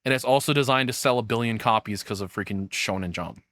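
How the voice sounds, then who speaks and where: A man's voice: fairly low pitch, quick pace, fairly bright tone, dry recording. A man streaming casual reaction commentary, rambling as he thinks aloud and swearing casually.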